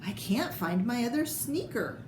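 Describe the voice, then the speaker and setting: A woman's voice: fairly high pitch, very animated, normal speed, pitched above the performer's own voice. A woman voicing a gawky, blunt young storybook Cinderella in a whiny, plaintive manner. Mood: whiny complaint. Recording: echoey room with clear background noise.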